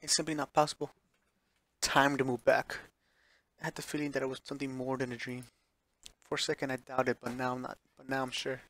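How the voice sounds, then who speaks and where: A man's voice: mid-range voice, flat delivery, brisk, sharp tone, no room sound. A man streaming a visual novel, reading it aloud with offhand reactions and correcting himself when he stumbles over words. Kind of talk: live playthrough read-aloud with offhand reactions.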